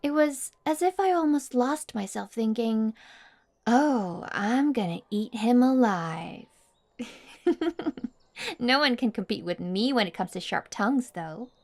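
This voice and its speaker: A woman playing a mean, smug, obsessive popular high school girl in an intimate ASMR roleplay, taunting and boastful. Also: expressive, darkish tone, exaggerated voice. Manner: taunting, boastful, condescending, drawn-out teasing. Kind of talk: intimate ASMR roleplay monologue addressed to listener.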